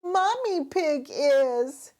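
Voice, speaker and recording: A woman reading a picture book aloud to young children in an expressive, carefully paced way. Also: high voice, extremely slow. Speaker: children's story reader, host.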